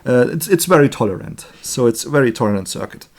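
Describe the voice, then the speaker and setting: A man with a German accent, a native German speaker, giving an informal hands-on electronics tutorial in a chatty, halting way, correcting himself as he works. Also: medium pitch, expressive. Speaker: electronics hobbyist demonstrating a circuit build. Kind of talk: informal hands-on tutorial, explaining while working.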